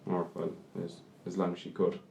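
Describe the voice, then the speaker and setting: A man's voice: sits low, completely flat delivery, normal speed, creaky, mellow. A man playing a weary, caring Irishman in naturalistic radio drama, speaking plainly and reflectively, with some hesitation, in a roomy echo. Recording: roomy, echoing sound.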